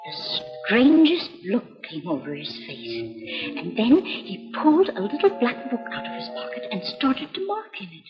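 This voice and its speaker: A woman's voice: a little high, big pitch swings, unhurried. An actress playing an anxious wife recounting her husband's troubles to a doctor, in a 1950s educational film. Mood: worried. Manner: anxious, chatty storytelling. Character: fretful middle-aged wife confiding in a doctor. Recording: dull reverberant old film soundtrack with music.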